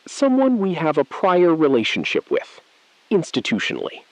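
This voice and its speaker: An actor playing a coolly complicit male bank insider in a scripted audio thriller, measured, evasive and precise. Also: fairly high pitch, wildly swooping pitch, dry recording, low-quality recording. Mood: controlled.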